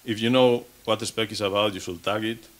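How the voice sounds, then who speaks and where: Man, 30s, lowish voice, speaking from a podium at a conference, restarting and repeating words as he goes. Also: steady tone, brisk, a bit bright. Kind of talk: technical conference talk. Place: conference stage.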